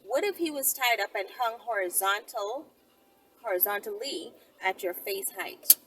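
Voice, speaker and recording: A woman's voice: mid-range voice, expressive, moderate pace. A woman talking casually to her viewers as a video host, rambling conversationally and often checking in with tag questions. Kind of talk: casual solo talk to viewers. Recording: distant mic, loud vehicle-like background noise.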